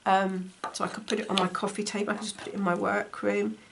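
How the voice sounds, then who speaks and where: Woman, croaky post-cold voice, gravelly edge, relaxed talk-through craft tutorial, faint dishwasher hum behind. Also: mid-range voice, fast. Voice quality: slightly gravelly. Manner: chatty, talks while stitching. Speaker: textile crafts teacher. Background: dishwasher running.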